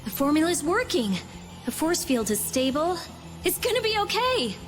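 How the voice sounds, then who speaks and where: Bossy, high-pitched teenage girl mage. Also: sing-song, moderate pace, a little hiss or hum. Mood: focused, explaining. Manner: sharp-tongued, emphatic. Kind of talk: anime game voice acting.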